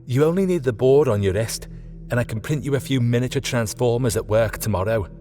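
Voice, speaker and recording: A man voicing a sharp, practical woman engineer in an audiobook, brisk and confident, firm yet friendly. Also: mid-range voice, in a large hall, faint music behind, natural voice.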